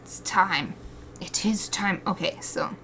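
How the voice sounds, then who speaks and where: A woman's voice: medium pitch, takes it slowly, sharp tone, a little hiss or hum. An American woman chatting and laughing to herself while drawing live, reading viewer comments aloud. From United States. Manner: chatty, giggly, talks to herself and reads chat aloud. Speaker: artist streaming live drawing.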